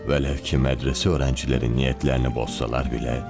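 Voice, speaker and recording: An actor playing a calculating priest in an animated film, speaking in deliberate, speech-like sentences. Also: male voice, rumbling low, over music. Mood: measured, scheming. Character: calculating old priest testing another nation's morals.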